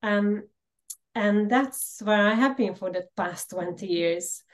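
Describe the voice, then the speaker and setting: Hungarian-Slovak accented woman, reflective. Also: medium pitch. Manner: frequent "um" pauses, earnest and reflective. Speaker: podcast guest, empowerment coach. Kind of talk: personal storytelling interview.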